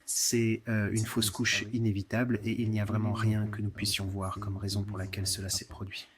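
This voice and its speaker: A man playing a calm, steady hospital doctor. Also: lowish voice, a crowd in the background, lightly acted. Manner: measured, steady, with hesitant fillers.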